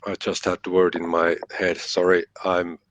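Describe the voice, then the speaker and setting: A man's voice: sits low, completely flat delivery, normal speed, dry recording. A man from Finland, not a native English speaker, speaking hesitantly as he searches for words as a guest author.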